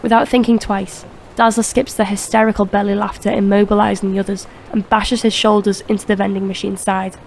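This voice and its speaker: A woman's voice: mid-range voice, little pitch movement, a little fast, creaky, a little hiss or hum, low-quality recording. A woman reading scripted narration for a crime audio drama.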